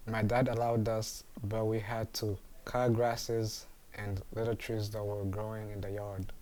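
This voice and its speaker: A young man from Uganda reading his own memoir aloud in an earnest, measured way. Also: lowish voice, dark tone. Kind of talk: read memoir narration.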